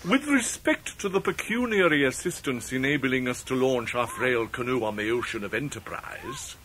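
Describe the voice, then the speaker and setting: A male actor playing a pompous, grandiloquent middle-aged English gentleman in a radio drama, with orotund, deliberate phrasing. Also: medium pitch, slight background noise. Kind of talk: 1990s radio drama acting.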